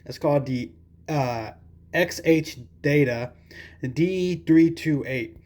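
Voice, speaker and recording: A man's voice: fairly high pitch, takes it slowly, a little mellow. A man giving a casual, hands-on product review in a rambling, hesitant way with folksy phrasing.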